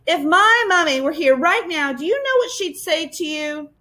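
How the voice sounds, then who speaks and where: Woman as an indignant, high-voiced little girl. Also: animated, slow, fairly bright tone, exaggerated voice. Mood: scolding. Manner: emphatic, scolding. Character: indignant, upset little girl, scolding bullies.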